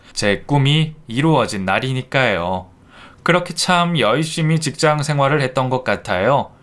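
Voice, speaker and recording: A man calmly narrating a scripted first-person life story. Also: medium pitch.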